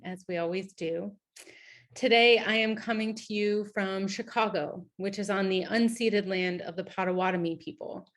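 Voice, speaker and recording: A woman hosting an event, reading welcome announcements in a friendly way with small fillers. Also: medium pitch. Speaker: event host. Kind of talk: scripted welcome remarks.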